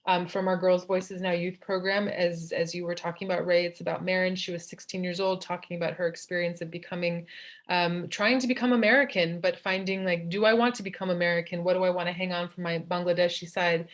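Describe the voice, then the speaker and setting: Brief: woman guest, fairly low voice, thoughtful and earnest, halting phrasing. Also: little pitch movement. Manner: earnest, thoughtful, with restarts and stumbles. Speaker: nonprofit director, guest. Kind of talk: casual interview chat.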